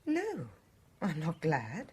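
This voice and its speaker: Radio drama lead: secretive British wife, husky, emotionally expressive with wide pitch swings. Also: female voice, slow pace, full character voice. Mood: soothing. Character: secretive, emotional wife of a murdered man, in love.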